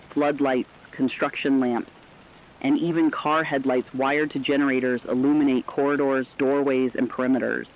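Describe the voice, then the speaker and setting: A man playing a serious radio news anchor, reading a scripted emergency bulletin with deliberate, emphatic phrasing. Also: medium pitch, muffled recording, natural voice. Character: stern, urgent radio news anchor reporting an apocalypse. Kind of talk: scripted emergency broadcast narration.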